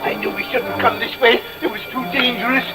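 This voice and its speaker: An actor playing a timid, deferential assistant in an old-time radio drama, hesitant and meek. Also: male voice, very high voice, steady tone, brisk, loud music behind the voice. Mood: frightened. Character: timid, deferential male assistant to a rich boss. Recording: noisy vintage radio recording.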